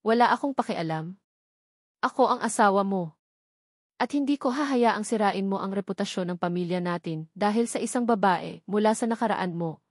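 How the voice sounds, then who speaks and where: A woman's voice: mid-range voice, moderate pace, dry recording, studio quality, natural voice. Woman playing a possessive, demanding Filipino wife. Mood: angry. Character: possessive, suspicious, angry wealthy wife.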